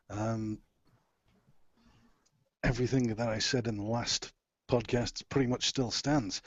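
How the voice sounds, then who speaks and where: A man with a low, rough, gruff voice, a voice actor answering matter-of-factly in casual conversation. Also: dry recording, muffled recording.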